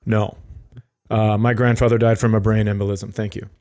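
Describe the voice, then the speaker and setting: A man's voice: on the low side, little pitch movement, normal speed, darkish tone. Rambling middle-aged man telling comic stories. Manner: rambling, jokey. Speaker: comedy podcast co-host. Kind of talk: casual comic storytelling.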